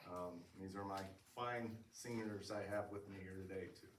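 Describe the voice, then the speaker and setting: A man with a deep, level voice introduces himself as a soccer coach, stopping often to fill pauses. He sounds distant in a roomy meeting hall. Faint noise sits behind him. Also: fairly even intonation. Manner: hesitant, many uh fillers. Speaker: high school soccer coach. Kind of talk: casual presentation. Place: school board meeting room. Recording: echoey hall from far off.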